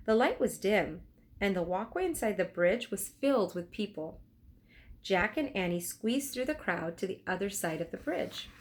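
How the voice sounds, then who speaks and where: A woman narrating a children's book aloud expressively, enunciating for young listeners. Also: mid-range voice. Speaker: storybook reader.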